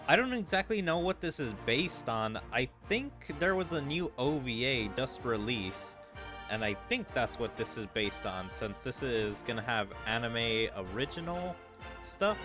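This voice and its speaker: A man giving casual let's-play commentary, musing and thinking aloud as he goes. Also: mid-range voice. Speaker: gaming video creator. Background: game music.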